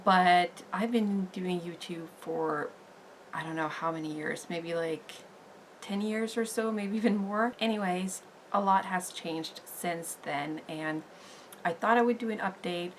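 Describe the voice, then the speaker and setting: A Norwegian woman in her thirties, speaking English with a Norwegian accent, chats through a get-to-know-me video. She talks slowly in a slightly low voice and leans on "like" and "um". A faint hiss sits under the recording. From Norway. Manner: unhurried and chatty, with many "like" and "um" fillers. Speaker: beauty vlogger. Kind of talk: casual get-to-know-me chat.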